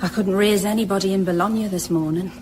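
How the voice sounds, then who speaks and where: A woman's voice: medium pitch, fairly even intonation, quick pace, noisy background, lightly acted. Kindly, disillusioned working woman, played by an actress. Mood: friendly. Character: kindly, disillusioned female colleague. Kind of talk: naturalistic radio drama acting.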